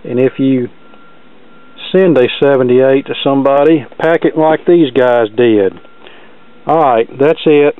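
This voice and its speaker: Guy doing casual unboxing chat, plainspoken and folksy, mid pitch, muffled dry sound. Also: unhurried, clear, resonant tone. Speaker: video host, record collector. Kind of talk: casual unboxing commentary with practical advice.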